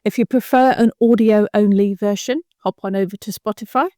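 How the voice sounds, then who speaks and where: A woman's voice: mid-range voice, fairly even intonation, normal speed, dark tone, no echo, studio quality. A woman hosting a podcast interview in a chatty, jokey manner. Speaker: podcast host.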